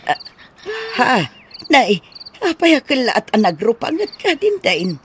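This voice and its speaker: A woman playing a caring, excitable older nanny in a radio drama, with an Ilocano Filipino accent. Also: on the high side, unhurried, a little hiss or hum. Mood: alarmed.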